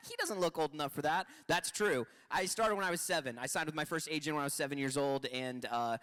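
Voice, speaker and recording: A man's voice: on the high side, quick pace, fairly bright tone. A man in his twenties, an actor, talking upbeat and at length on a panel, often restarting his sentences. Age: 20s. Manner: upbeat, talkative, many restarts. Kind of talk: panel talk.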